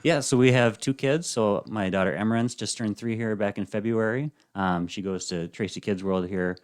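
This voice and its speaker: A man in his thirties, a podcast guest chatting casually and softly, with frequent ums and uhs. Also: fairly low pitch, moderate pace, clean tone. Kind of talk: casual interview chat.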